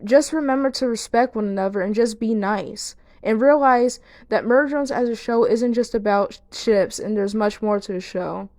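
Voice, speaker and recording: A woman's voice: mid-range voice, a little mellow, no echo. A woman giving video essay commentary to viewers, speaking earnestly and now and then stumbling over words. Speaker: video creator and host.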